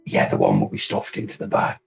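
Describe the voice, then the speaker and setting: A man's voice: fairly quick, soft background music, close to an everyday voice. A man voicing Kef, a blunt, crude male brute, in a tabletop roleplay. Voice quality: very deep, gravelly. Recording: dull, lo-fi stream audio.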